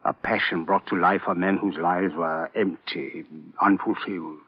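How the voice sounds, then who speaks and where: An actor playing a proud, weary psychiatrist in a classic radio drama, speaking in formal, deliberate, stilted phrases. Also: male voice, low voice, fairly even intonation. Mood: mournful, fervent. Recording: tinny, narrow old radio recording.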